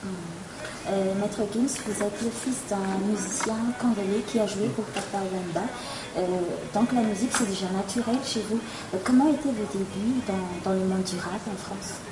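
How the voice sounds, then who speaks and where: A woman's voice: mid-range voice, normal speed, noisy background. A woman interviewer asking polite, formal questions. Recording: roomy sound with loud music behind.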